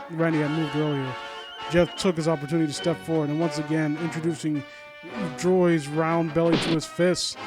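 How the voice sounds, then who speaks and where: A man with a fairly high voice does casual commentary and story reading. He is sardonic and informal, and trips over his words as he goes. Music plays faintly behind him. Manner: sardonic, mock-supportive asides, informal, stumbling over read lines. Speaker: host of a critique series reading a story aloud and commenting.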